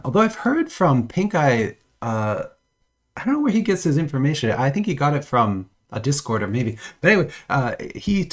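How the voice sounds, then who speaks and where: A man with a cold chatting casually while unboxing trading cards at home, rambling with many restarts and little chuckles. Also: medium pitch. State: has a cold, voice a bit off. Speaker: trading card unboxing vlogger.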